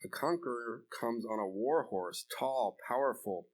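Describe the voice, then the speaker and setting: A man's voice: mid-range voice, measured pace, close to an everyday voice, poor audio. A man playing a skeptical townsman who keeps questioning, in an amateur church dramatic reading. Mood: doubtful. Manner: doubting, questioning.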